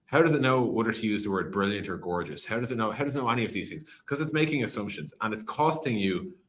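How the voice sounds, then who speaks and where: A man's voice: on the low side, lively intonation, fast, a bit bright, a little hiss or hum. A man speaking as a podcast guest in a conversational tech interview, explaining animatedly with analogies and quoted examples.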